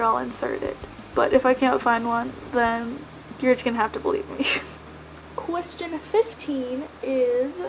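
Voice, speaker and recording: A woman's voice: sits high, a little mellow, a little hiss or hum, low-quality recording. A young woman from Texas with a light American accent, chatting casually and rambling to the camera in a get-to-know-me video, laughing often. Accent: American, mildly Texan. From Texas, USA. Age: young adult. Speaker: vlogger. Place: at home.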